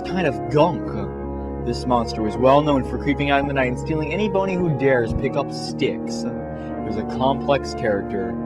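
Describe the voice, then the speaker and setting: A man narrating a comic fan-fiction story in a theatrical, mock-serious way. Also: medium pitch. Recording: music behind the voice.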